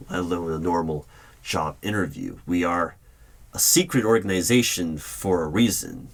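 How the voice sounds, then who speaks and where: Very deep-voiced man, formal interviewer roleplay. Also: a bit bright. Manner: formal, deliberate, official interviewer tone. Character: stern male hiring manager of secret organization. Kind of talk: ASMR roleplay, spoken at normal volume. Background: computer keyboard typing.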